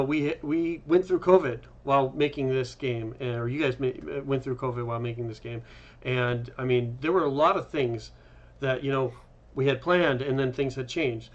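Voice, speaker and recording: A man with a mid-range voice hosts a casual conversation among game makers. He talks informally and conversationally. The recording is somewhat muffled and lo-fi. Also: medium pitch. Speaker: host, game developer. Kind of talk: casual chat.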